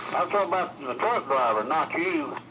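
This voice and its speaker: A man's voice: fairly high pitch, animated, moderate pace. A man with a Southern US accent chatting casually over a CB radio transmission. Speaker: CB radio operator. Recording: dull, noisy CB radio signal.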